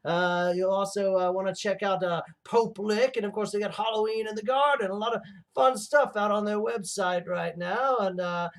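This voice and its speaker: A man playing a theatrical mad villain hosting a comedy livestream, rambling and gloating with halting, melodramatic delivery and a creaky voice. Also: high-pitched, steady tone. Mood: rambling, playful. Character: theatrical male mad villain host plotting laser revenge. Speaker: livestream show host.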